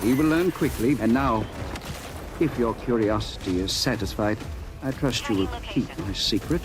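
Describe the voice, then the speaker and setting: An actor playing a proud, secretive older professor in an old radio drama, speaking formally and precisely, like a lecturer. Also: male voice, medium pitch, moderate pace, lightly acted. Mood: proud, earnest. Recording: old radio recording, sounds behind. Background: game gunfire and music.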